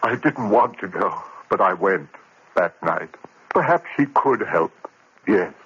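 A man's voice: sits low, lively intonation, measured pace, fairly bright tone, slightly rough, exaggerated voice. An actor playing a tormented male college lecturer losing his mind, in an intense 1940s radio drama delivery. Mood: haunted. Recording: muffled, faintly hissy old radio recording.

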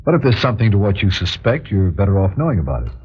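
An actor playing a businesslike, sympathetic private detective, reassuring and matter-of-fact in old-time radio drama style. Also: male voice, on the low side, sing-song, racing, slightly rough, exaggerated voice. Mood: sympathetic, probing. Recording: dull vintage radio recording.

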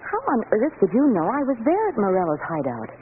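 A woman's voice: mid-range voice, huge pitch swings, fast. A woman playing a crime-solver's grateful, inquisitive companion, eager and dramatic, in 1940s radio drama style. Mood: puzzled, curious. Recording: tinny, hissy 1940s radio recording.

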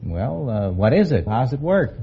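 A man's voice: mid-range voice, very animated, unhurried. An American man answering as a quiz contestant in a plain-spoken, straight-faced, unscripted way. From California, United States. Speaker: quiz contestant, patent attorney. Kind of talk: unscripted radio interview answers. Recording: muffled old radio recording, loud noise.

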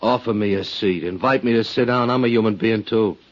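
A bitter small-time swindler fresh out of prison played by a male actor in a 1950s radio drama. His low voice is halting, wheedling and insistent, full of grievance. The recording is slightly muffled and old. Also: natural voice. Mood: aggrieved.

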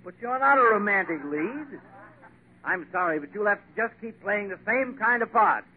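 A man's voice: high voice, animated, normal speed. A man playing a polished, insistent and patronizing Hollywood talent agent in a 1940s radio comedy. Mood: businesslike. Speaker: actor. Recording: tinny, hissy old 1940s radio broadcast.

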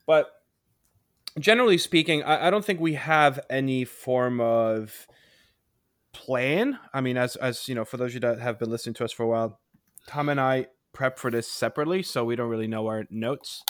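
A man's voice: mid-range voice, normal speed, a bit bright, no room sound, studio quality. A middle-aged man co-hosting a casual podcast, rambling with lots of fillers and restarts. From Poland. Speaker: podcast co-host. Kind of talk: casual chat.

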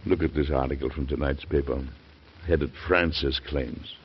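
An actor playing a weary, idealistic, stubborn American president in a classic radio drama, speaking in earnest, emphatic oratory. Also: male voice, extremely low pitch, steady tone, unhurried, breathy edge, heavily acted. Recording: dull, band-limited old radio recording.

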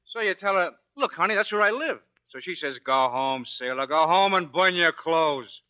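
A sarcastic sailor in an old radio drama. The voice is bright and slightly high, full of grumbling. The recording is dull and dated. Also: male voice, expressive, normal speed. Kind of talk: 1940s radio drama acting.

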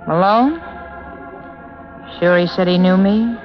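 A woman's voice: mid-range voice, slow, natural voice. Woman acting a coy young organist, world-weary, guarded, radio drama, lo-fi sound with prominent music. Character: cool, coy young female bar organist. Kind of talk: 1940s-50s American radio acting. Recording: muffled old radio, organ music behind.

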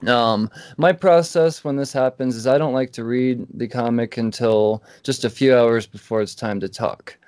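Man, podcast host, casual chat, halting restarts, upbeat, dry sound. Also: mid-range voice, a little mellow, no echo.

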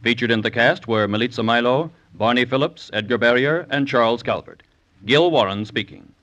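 A man's voice: mid-range voice, normal speed, fairly bright tone, muffled recording. A man reading scripted 1950s radio announcements in a formal, polished way.

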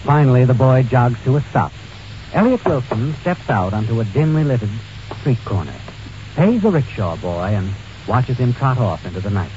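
A man's voice: mid-range voice, sing-song, moderate pace, background noise. A man narrating a suspense story in dramatic, ominous 1940s radio style. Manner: ominous, addresses the character as "you". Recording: muffled old radio recording. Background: dramatic music.

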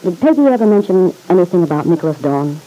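A man's voice: high voice, expressive, fast talker, mellow, dull audio. An actor playing a stern, insistent man in an old-time radio serial, blunt, slangy and accusing, pressing for the truth. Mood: probing.

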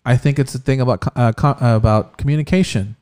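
A man talks bluntly and swears freely. He hands out big-brother advice in an even, mid-pitched voice. The setting is a loose, casual podcast chat. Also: fast, clear, resonant tone. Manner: blunt, profane, advice-giving. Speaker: podcast co-host.

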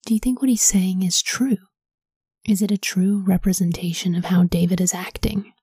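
A woman's voice: mid-range voice, breathy edge, no echo, studio quality. Whispering woman reading scripture. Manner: soft whisper, unhurried. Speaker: Bible study leader.

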